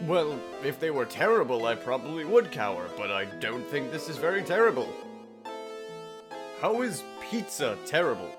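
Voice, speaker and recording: A man's voice: a little high, sing-song, moderate pace. A man playing an earnest questing knight who tells his own fantasy tale in a dramatic manner, with a wry streak. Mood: wry, unimpressed. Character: earnest questing young male knight, wry and easily startled. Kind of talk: first-person dramatic fantasy narration. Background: music.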